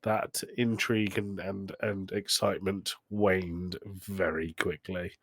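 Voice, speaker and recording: A man's voice: low voice, level tone, takes it slowly, slightly rough, no echo. A British man co-hosting a podcast in casual chat, with a dry, joking manner. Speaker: podcast co-host.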